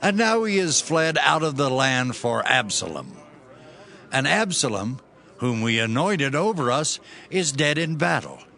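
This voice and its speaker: An earnest troubled commoner. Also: male voice, mid-range voice, sing-song, measured pace, creaky, bright, forceful tone, full character voice. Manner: questioning, earnest. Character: troubled man among the common people.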